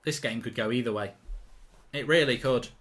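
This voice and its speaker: A man's voice: mid-range voice, normal speed, fairly bright tone. Blunt man hosting a live match watchalong. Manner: chatty, reactive, blunt and indignant with mild swearing. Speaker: football watchalong host. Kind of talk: live match watchalong commentary reading viewer chat.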